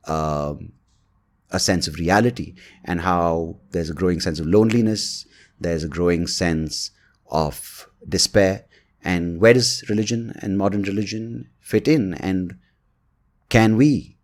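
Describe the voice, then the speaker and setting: A male podcast host with a low voice speaks casually and without a script. He rambles and hesitates, working out his thoughts as he goes. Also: darkish tone. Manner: rambling, hesitant, thinking aloud. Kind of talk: casual, unscripted podcast monologue and chat.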